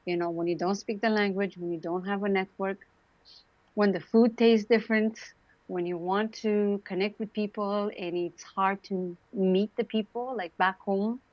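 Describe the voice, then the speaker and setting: A woman's voice: medium pitch, fairly even intonation, normal speed, no room sound. Guest: woman, late 40s, Romanian accent, chatty with fillers, dry dull video-call sound. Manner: warm, chatty, laughs often, many fillers. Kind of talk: casual interview talk.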